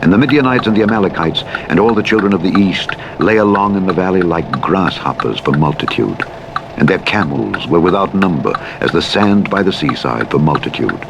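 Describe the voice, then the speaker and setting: A man narrating the Bible in a solemn, measured, formal reading. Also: animated, vocal fry. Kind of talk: solemn scripted reading of scripture. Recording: heavy vehicle engine noise. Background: rumbling truck driving sounds.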